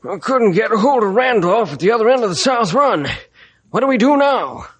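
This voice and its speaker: An actor playing an eager, cooperative railroad employee in an old-time radio western. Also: male voice, high voice, expressive, fairly quick, breathy edge. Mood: excited.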